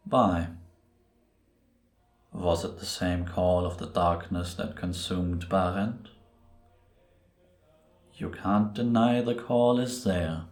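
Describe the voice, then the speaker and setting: A man playing a grave, brooding priest who tells a dark tale in a solemn, ominous, deliberate way for a cinematic audio drama. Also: deep voice. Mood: grave, probing. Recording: roomy echo on the voice.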